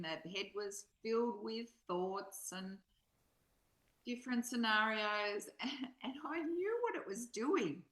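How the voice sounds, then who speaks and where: A woman speaking in a measured, reflective way, as in a spiritual reading and study conversation. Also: medium pitch, sharp tone. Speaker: co-presenter reading and discussing a spiritual text. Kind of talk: slow read-aloud and reflective study conversation. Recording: roomy, echoing sound.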